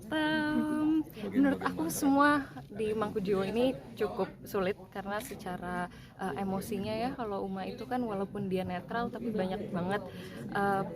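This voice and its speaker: Relaxed actress at a noisy press event, mid-range pitch, easy chatty delivery. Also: female voice, moderate pace. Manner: chatty and relaxed, frequent "eh" and "em" fillers. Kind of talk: casual press interview. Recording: loud crowd noise behind.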